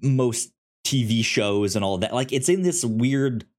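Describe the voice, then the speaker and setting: A man co-hosting a casual film discussion, speaking haltingly and often stammering and repeating words. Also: medium pitch, animated, a little mellow. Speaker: podcast co-host reviewing films.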